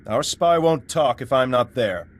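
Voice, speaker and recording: An actor playing a male resistance leader in a game cutscene, speaking in a serious, measured way. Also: mid-range voice, animated. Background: game music.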